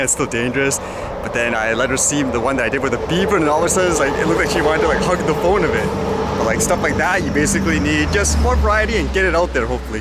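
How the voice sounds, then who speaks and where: A man with a medium-pitched voice chats in an informal vlog style over clearly audible music. He reads items aloud and muses on them, often posing questions to himself and his viewers. He sounds like he is recording outdoors on a small camera. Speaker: vlogger. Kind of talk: casual vlog chat, reading news items aloud with comments. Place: outdoors in a windy urban area. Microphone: action camera. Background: clear background music.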